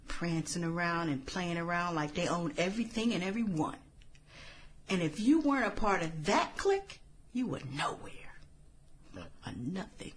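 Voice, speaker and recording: An actress playing a shy, brooding middle-aged former high school outcast in a radio drama, speaking haltingly. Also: female voice, a little hiss or hum. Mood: bitter, hushed. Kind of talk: satirical radio drama acting.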